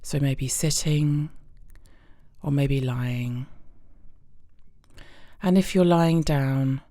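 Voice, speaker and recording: A woman guides a relaxation exercise in a very deep voice. Her tone is soft-edged and mellow, and she speaks slowly and soothingly, leaving space between phrases. The sound is close and dry. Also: no echo. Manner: soothing, gentle, unhurried instruction with pauses. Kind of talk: guided body scan meditation.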